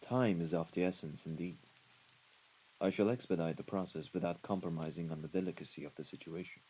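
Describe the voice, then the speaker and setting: A man playing a composed, menacing mafia right-hand man in an audio roleplay, speaking in a formal, measured way. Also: low voice, dark tone, breathy edge, poor audio. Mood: calm, deferential. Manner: formal, measured, deliberate wording. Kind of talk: scripted audio roleplay acting. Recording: rain and ambience behind the voice.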